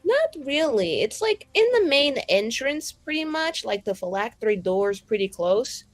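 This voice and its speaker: A woman's voice: fairly high pitch, sing-song, measured pace, dry recording. A woman in casual roleplay chat as a tabletop game player, with a flippant, rambling, slangy way of talking. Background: background music.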